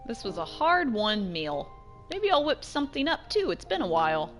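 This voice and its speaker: A woman's voice: fairly high pitch, sing-song, normal speed. A woman casually reacting and chatting expressively as she plays through a story game. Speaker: let's play streamer. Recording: game music behind.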